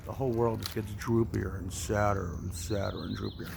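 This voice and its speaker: A man's voice: lowish voice, steady tone, normal speed, gravelly, a little mellow, slight background noise. A man telling religious stories to young people as a teacher, casual and colloquial, acting out his examples. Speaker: youth program storyteller and teacher. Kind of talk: animated religious storytelling for children.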